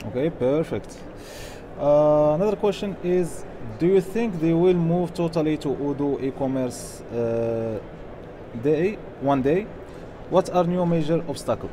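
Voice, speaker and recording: Moderator, highish male voice, noisy stage. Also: measured pace. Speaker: session moderator reading audience questions. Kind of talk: reading out questions at a conference. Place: on stage in a large conference hall. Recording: clear background noise, live event.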